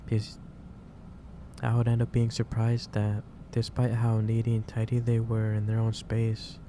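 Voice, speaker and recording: A man playing a gentle, caring office boss in an ASMR roleplay, speaking haltingly with repeated phrases over a noisy background. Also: fairly low pitch. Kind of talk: soft-paced ASMR roleplay monologue. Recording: clearly noisy background hiss or ambience.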